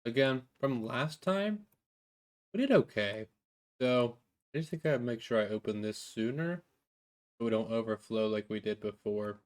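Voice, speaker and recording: A man's voice: medium pitch, normal speed, darkish tone. A man hosting a let's play, talking himself through each move in the game and speaking to his viewers in a friendly, direct way. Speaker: gaming channel host. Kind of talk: let's play gameplay commentary. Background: background music.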